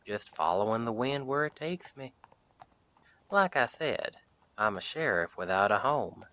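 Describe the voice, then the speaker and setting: Man playing a slow-talking, friendly Western sheriff. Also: medium pitch, expressive, bright, forceful tone, no echo. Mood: easygoing, reflective. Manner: folksy, unhurried, wry, chatty. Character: friendly wandering Western sheriff, easygoing drifter, dryly humorous. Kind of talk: audio roleplay, speaking directly to the listener.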